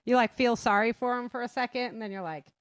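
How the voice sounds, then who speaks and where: A woman's voice: a little high, fast, dry recording. A woman hosting a casual interview, talking in an informal, encouraging way. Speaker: host interviewing her audio drama cast.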